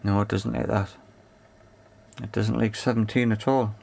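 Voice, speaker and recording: Low-voiced man muttering through a repair. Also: little pitch movement, normal speed, creaky, a little mellow, slight background noise. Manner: tentative, talks to himself while working. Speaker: repair video presenter. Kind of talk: hands-on repair commentary, thinking aloud.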